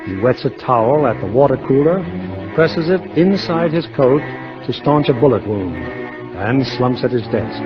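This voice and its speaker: A man's voice: medium pitch, lively intonation, unhurried. An American man narrating from a script as a 1950s radio announcer. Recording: old muffled radio recording, music behind.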